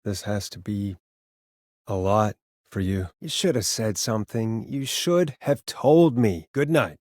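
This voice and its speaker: A man's voice: fairly low pitch, a little slow, a little mellow, no echo, lightly acted. A man acting an earnest, wry late-night radio DJ caught in a crisis in a video game, talking conversationally and sometimes hesitantly. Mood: weary, sympathetic. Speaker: radio host.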